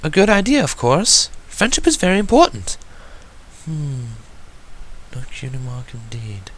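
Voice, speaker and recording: A man voicing an elegant, genteel adult mare with a soft, theatrical delivery in a story reading. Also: fairly high pitch, big pitch swings, a little slow, voice pushed up, full character voice. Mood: appraising.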